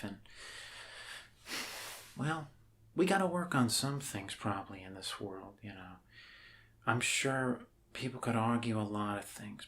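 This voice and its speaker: A man doing soft, improvised ASMR roleplay as a bumbling, friendly doctor, rambling and apologetic in a scatterbrained way. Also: medium pitch, a little hiss or hum. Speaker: ASMR performer playing a doctor.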